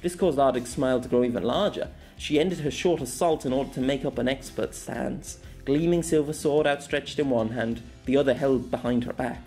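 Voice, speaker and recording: Brief: amateur male audiobook narrator, mid pitch, steady storytelling with small slips. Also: brisk, hardly any pauses, faint music behind.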